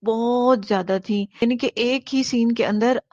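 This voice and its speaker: A woman's voice: a little high, fairly even intonation, unhurried, darkish tone, dry recording. A woman answering interview questions in a conversational way. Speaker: amateur audio drama actress being interviewed. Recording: dry, slightly dull lo-fi sound.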